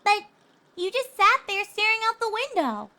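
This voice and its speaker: A woman's voice: very high voice, big pitch swings, moderate pace, pushed and bright, pitched above the performer's own voice, full character voice. A woman voicing a sweet, easily annoyed young unicorn filly in a story reading, grumbling and indignant. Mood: hurt.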